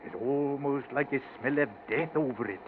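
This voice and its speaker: A man's voice: medium pitch, moderate pace, natural voice. A man acting an anxious, deferential old English gardener with a Yorkshire accent and a hesitant stammer, in 1940s radio style. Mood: uneasy. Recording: noisy, muffled 1940s radio recording.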